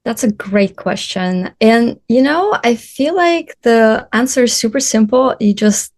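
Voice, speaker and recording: A woman's voice: on the high side, expressive, a little slow, a little mellow. Russian-born woman chatting with easy laughs. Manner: chatty, laughs often, non-native grammar slips. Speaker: podcast guest, photographer and traveler. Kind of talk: casual interview chat.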